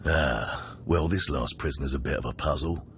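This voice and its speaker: An actor playing a wry, contemptuous older Sith jailer in a video game, with a sardonic drawl. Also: male voice, a bass voice, moderate pace, a bit bright, a little hiss or hum. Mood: wry contempt. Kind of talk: video game cutscene acting.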